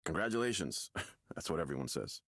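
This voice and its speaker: A man's voice: extremely low pitch, sing-song, a little fast, fairly bright tone, husky, no room sound, clean studio sound, exaggerated voice. An actor playing a nervous, defensive expectant father in a visual novel, hesitant and stammering as he talks. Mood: uneasy, hesitant. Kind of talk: visual novel game dialogue. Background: music.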